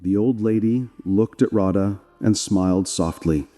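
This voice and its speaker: A man's voice: fairly low pitch, unhurried, dark tone. A man narrating a scripted moral story as a storyteller. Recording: long reverb, background music. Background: music.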